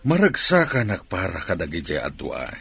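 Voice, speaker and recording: A man's voice: deep voice, moderate pace, gravelly, husky, dry recording, heavily acted. An actor playing a bantering male police chief in a radio drama. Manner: animated, laughing. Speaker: police boss. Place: studio.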